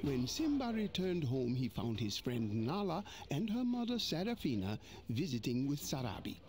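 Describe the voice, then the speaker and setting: An actor playing an old male mandrill elder, narrating a storybook read-along in an expressive way. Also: medium pitch, moderate pace. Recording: music playing behind.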